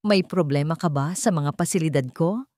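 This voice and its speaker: A woman's voice: low voice, normal speed, a little mellow, no echo, studio quality, natural voice. A woman voicing a cold, angry male CEO with a curt, commanding manner in an audio love story. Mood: irritated.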